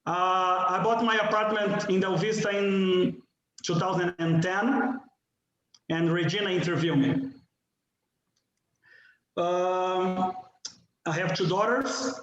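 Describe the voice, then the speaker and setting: A man in his forties from Brazil with a strong Brazilian Portuguese accent, giving a halting candidate speech at a residents' meeting. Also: sits high, steady tone, fairly bright tone, dull audio. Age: 40s. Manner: halting, apologetic about his English, asks the audience questions. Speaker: board candidate. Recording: very echoey room with some noise.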